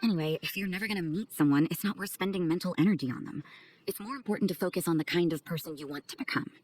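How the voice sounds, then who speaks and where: A woman's voice: lowish voice, fast, darkish tone, close to an everyday voice. A woman playing a cool, aloof, blunt woman with hidden kindness in a video game, speaking with dry detachment. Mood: detached. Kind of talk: video game character acting.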